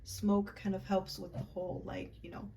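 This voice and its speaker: A young woman casually walking viewers through a love spell, chatty and halting, with frequent ums and likes. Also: mid-range voice, monotone, vocal fry, dark tone, a little hiss or hum. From United States, Puerto Rican and Peruvian family. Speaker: witchcraft vlogger.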